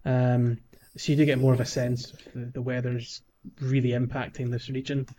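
A man's voice: medium pitch, little pitch movement, normal speed, warm tone. A man co-hosting a gaming podcast in casual chat, rambling and hesitant with many fillers and restarts. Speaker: podcast co-host.

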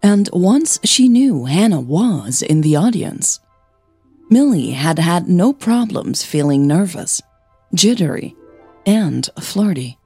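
A woman hosts and narrates a scripted fiction podcast. Her voice sits fairly low with a husky grain, and she takes her time, telling the story in measured phrases. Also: big pitch swings, warm tone, no room sound, soft background music.

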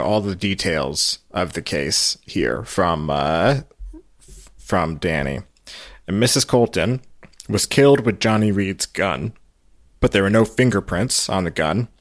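A man with a low voice hosts a recap podcast. He talks through the story conversationally, recorded close and dry. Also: lively intonation, unhurried, no room sound. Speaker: podcast host. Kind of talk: conversational recap of a radio show.

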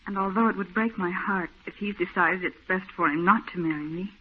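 A woman's voice: fairly low pitch, fairly quick, close to an everyday voice. A woman playing an anxious young fiancée. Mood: worried. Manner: anxious, earnest, emotional. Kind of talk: 1940s radio acting. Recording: tinny old radio broadcast with faint hiss.

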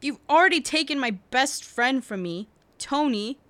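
A woman playing a determined, earnest young heroine in an audio drama, with an intense, deliberate delivery. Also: sits high, animated, fairly bright tone, no room sound. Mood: angry, pleading. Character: determined, earnest young heroine fighting to save her family. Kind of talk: audio drama acting with narration. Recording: recording with faint background noise.

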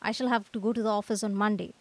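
A woman's voice: medium pitch, steady tone, rapid-fire, no room sound, natural voice. A woman playing an earnest, put-upon young male painter with a plaintive manner in a comic radio drama. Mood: despairing.

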